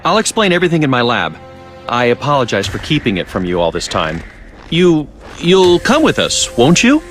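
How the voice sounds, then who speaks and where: An actor playing a clever, genial scientist in a video game, chatting and lecturing in an unruffled way. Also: male voice, medium pitch, huge pitch swings, moderate pace, fairly bright tone, faint music behind. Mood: soothing. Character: clever, genial, sly male scientist doctor. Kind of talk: English video game voice acting.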